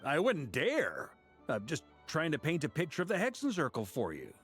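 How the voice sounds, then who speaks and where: Laid-back knight, bantering, lively intonation, video game dialogue, soft music behind. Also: male voice, a little high, normal speed. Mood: amused. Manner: casual, jovial, bantering. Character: easygoing, genial grown male knight, joking and self-assured.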